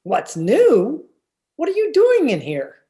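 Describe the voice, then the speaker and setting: Man playing an animated, flustered radio husband. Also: very high-pitched, takes it slowly, full character voice. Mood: suspicious. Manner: hesitant, exasperated. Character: earnest, flustered, worried husband. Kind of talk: old-time radio comedy acting.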